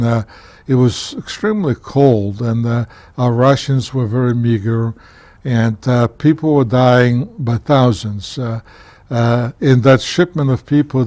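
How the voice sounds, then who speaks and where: Older man from Poland, TV guest; measured, earnest, halting recollection; dry close sound. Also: medium pitch, warm tone, no room sound. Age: 70s. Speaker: guest author, former county commissioner. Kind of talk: recounting his life story in a TV interview.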